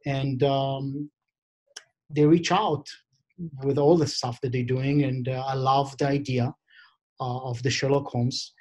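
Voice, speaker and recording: A middle-aged man with an Israeli accent speaks English on a webcam call from an office, at a medium pitch for a man. He talks in a halting way, stopping often with fillers and restarting his sentences as he looks for the words. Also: steady tone, darkish tone. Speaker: diamond expert and vendor. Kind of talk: video call interview. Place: office indoors. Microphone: video call webcam.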